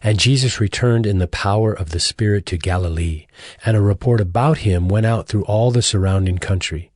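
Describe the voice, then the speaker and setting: A man narrating scripture from a script in a measured, formal manner. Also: on the low side, darkish tone, no echo, studio quality.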